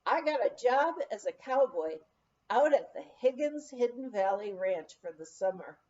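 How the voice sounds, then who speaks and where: A woman's voice: fairly high pitch, sing-song, measured pace, dark tone, highs cut off. A woman reading a memoir aloud, voicing a cocky, cheerful young cowboy in a breezy, confident way. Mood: breezy confidence.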